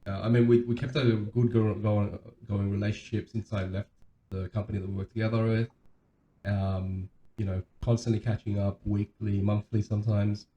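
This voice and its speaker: Low-voiced man in an echoey room. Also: little pitch movement, normal speed, dark tone, highs cut off. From Australia. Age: 30s. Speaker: podcast co-host. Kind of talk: casual chat. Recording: roomy echo, faint background noise, low rumble.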